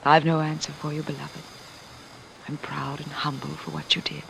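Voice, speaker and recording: Anxious, devoted lover in vintage radio. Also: female voice, low voice, flat delivery, normal speed, gravelly. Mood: anxious, humble. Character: devoted, anxious woman, lover of a fallen ruler. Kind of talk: old-time radio drama acting. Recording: noisy vintage radio broadcast.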